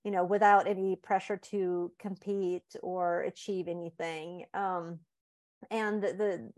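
Halting, thoughtful Swedish-born woman. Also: fairly low pitch, fairly even intonation, darkish tone. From Sweden. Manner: thoughtful, halting, many fillers and restarts. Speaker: author and guest. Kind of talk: casual interview conversation.

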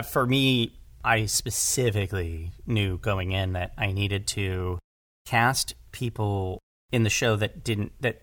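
A man's voice: lowish voice, creaky, a bit bright, no room sound. A man talking casually in an interview as an audio drama director, rambling and often correcting himself with emphasis.

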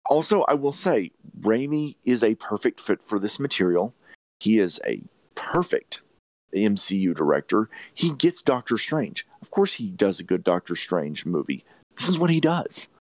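A man's voice: on the low side, sing-song, normal speed, a little mellow, no echo. A man hosting a podcast, discussing films casually in an emphatic, opinionated way and swearing freely. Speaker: podcast host.

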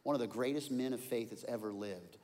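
A pastor in his forties preaching a sermon, telling stories and swinging from quiet asides to loud outbursts. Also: mid-range voice, fairly quick. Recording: long hall reverb.

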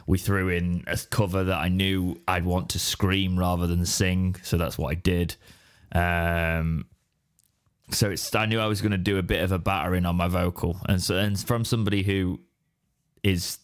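An English man with a deep, raspy, hoarse voice from straining it, rambling in casual podcast chat. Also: level tone, moderate pace. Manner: rambling, restarts mid-sentence. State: hoarse after straining his voice. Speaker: podcast co-host.

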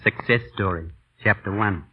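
An actor playing a courteous, well-connected gentleman who talks politely and suavely, in an old radio drama. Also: fairly low pitch, normal speed. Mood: courteous, charming. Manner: polite, smooth-talking. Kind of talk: 1940s radio drama acting. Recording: tinny narrow-band vintage radio recording.

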